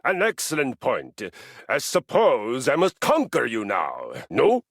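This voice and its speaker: Gravelly, bombastic Viking warrior. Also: male voice, mid-range voice, wildly swooping pitch, measured pace, fairly bright tone, no room sound, clean studio sound, exaggerated voice. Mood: puzzled, proud. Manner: theatrical, pompous, formal archaic phrasing. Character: brash, battle-loving Viking warrior, boastful and bombastic. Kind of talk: video game character voice acting.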